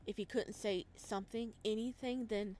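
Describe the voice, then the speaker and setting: A woman hosting a video game playthrough, reading the story aloud as expressive narration and reacting as she goes. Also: medium pitch, normal speed. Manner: expressive, slips into character voices. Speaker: gaming video host reading a visual novel aloud.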